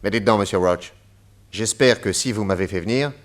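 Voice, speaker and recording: A man playing a calm shrewd detective. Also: lowish voice, natural voice. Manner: measured, reasoning aloud. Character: calm shrewd male detective, middle-aged. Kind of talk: French radio drama acting.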